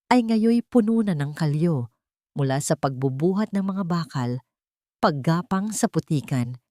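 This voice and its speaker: Woman narrator, Filipino accent, lower-pitched voice, scripted dramatic storytelling, dry close sound. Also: no echo.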